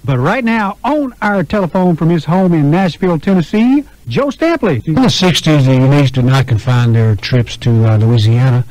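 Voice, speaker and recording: A man's voice: on the high side, animated, moderate pace, hardly any pauses, a little mellow, dry recording. A man hosting an oldies radio show with upbeat, chatty, informal announcer patter into a studio microphone. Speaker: radio DJ and interviewer. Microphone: close broadcast microphone. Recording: dull, lo-fi radio aircheck tape.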